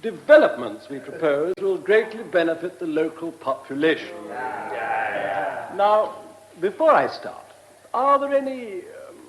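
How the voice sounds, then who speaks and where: A pompous, easily flustered civil servant in a radio play. The man's voice is high and swooping, halting yet condescending, in an echoey hall. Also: sing-song, a bit bright, slight background noise, heavily acted. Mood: officious, halting. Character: officious, patronising, flustered male ministry bureaucrat.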